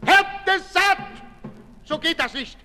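A man's voice: extremely high pitch, takes it slowly, pushed and bright, faint music behind, heavily acted. An actor playing an indignant, pompous male asylum director, scolding in a 1960s radio drama. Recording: 1965 radio play with long reverb.

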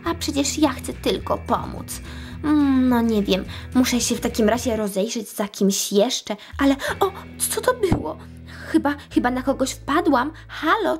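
A woman voicing an eager, jumpy female Minion detective with a hesitant, stammering manner in a children's toy story. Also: on the high side. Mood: puzzled. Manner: hesitant, stammering, wide-eyed.

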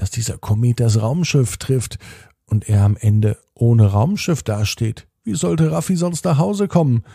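A man hosting a children's bedtime podcast, narrating a story in a soft, slow, soothing way and speaking directly to the children. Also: mid-range voice, creaky, husky, no echo, studio quality.

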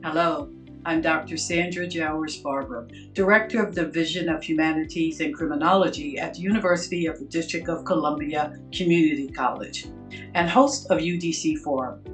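Older lady professor hosting a formal interview, lowish voice, faint music behind. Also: a little fast. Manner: warm, proud, formal. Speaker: host and professor. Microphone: video call.

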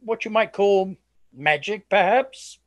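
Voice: mid-range voice, takes it slowly, no echo, close to an everyday voice. An actor playing a weary, haunted old sergeant major in a radio play, with guarded, ominous, old-fashioned courtly speech. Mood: reluctant, ominous.

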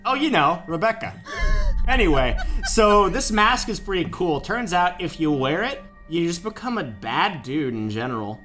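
A man's voice: sits high, big pitch swings, moderate pace, pushed and bright, soft background music, exaggerated voice. A man playing an affable businessman who rambles through absurd stories in an improvised comedic game fandub. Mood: absurd reminiscing.

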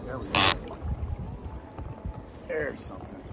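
A man's voice: on the low side, slow pace, creaky, loud music behind the voice. A man, chatting casually on a boat on a lake while he vlogs about fishing and tastes drinks. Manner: relaxed, laid-back tasting commentary. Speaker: fishing vlogger. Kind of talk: casual outdoor vlog chat.

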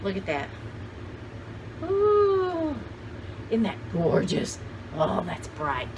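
A woman's voice: mid-range voice, wildly swooping pitch, drawn-out words. Rambling older woman on a noisy recording. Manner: rambling, enthusiastic, exclaiming. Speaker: vlog host showing thrift haul finds. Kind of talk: casual chat to camera. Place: cluttered home craft room, indoors. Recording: clearly noisy home video background.

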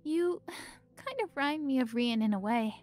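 A woman's voice: sits high, animated, normal speed, darkish tone, faint music behind. Woman voicing a hesitant young heroine. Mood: earnest. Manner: hesitant, stammering. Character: young heroine, unsure but determined. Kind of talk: fan audio drama acting.

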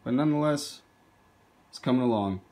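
A man's voice: medium pitch, fairly quick, mellow. A man hosting a video, casually explaining his hobby robot project as he films indoors. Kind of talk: casual narrated project demo.

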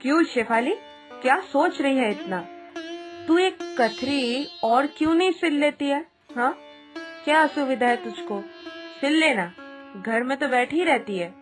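A woman's voice: high-pitched, animated, measured pace, over music. A woman voicing a bossy, dismissive older mistress of the house in a cartoon story, brusque and scolding. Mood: impatient, scolding. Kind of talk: Hindi cartoon story dubbing.

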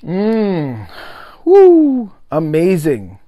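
A young man chatting casually to the camera in a perfume review video, animated and talkative, often adding "guys" and "okay?". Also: high-pitched, very slow. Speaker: fragrance reviewer. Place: home room, indoors.

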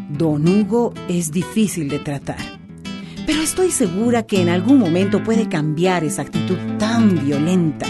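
A firm but caring Bolivian football captain played by an actress in a radio drama. Her delivery is measured and expressive, with music behind. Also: mid-range voice, natural voice. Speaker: football coach.

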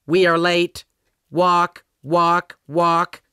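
A man's voice: high voice, level tone, drawn-out words, pushed and bright, clear, resonant tone, dry recording, studio quality, pitched above the performer's own voice, full character voice. A man voicing a demented, cranky robot nanny who nags in clipped commands for an audiobook. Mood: impatient.

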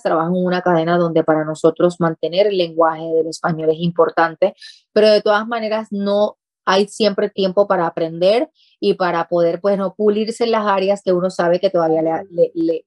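Earnest Puerto Rican woman, low dry voice. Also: fairly even intonation, moderate pace, dry recording. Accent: Puerto Rican Spanish. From Puerto Rico. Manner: earnest, speaks with emphasis and repetition. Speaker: interview guest, journalist. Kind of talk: talk show interview. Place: small studio office set.

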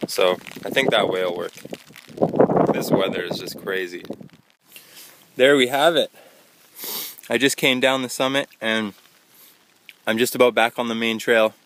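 A young man casually narrating a hiking vlog outdoors into a handheld phone. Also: lowish voice. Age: young adult. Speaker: hiking vlogger. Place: outdoors on a wet, windy mountain trail. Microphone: handheld phone at arm's length. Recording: windy, noisy handheld phone recording outdoors. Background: loud wind and rain noise.

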